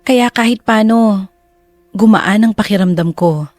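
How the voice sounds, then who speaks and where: An actress playing a reflective, remorseful lover who narrates a love story in the first person. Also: female voice, mid-range voice, measured pace, darkish tone, dry recording, faint music behind, lightly acted.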